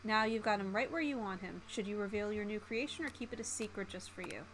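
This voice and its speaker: A woman streamer reading a visual novel aloud in a theatrical, expressive way. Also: medium pitch, fast, slight background noise.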